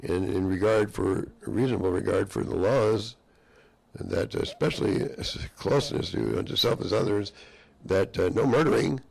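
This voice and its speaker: A hoarse man in a rambling monologue, drifting between half-finished sentences and mock-courtroom phrasing. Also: fairly low pitch, normal speed.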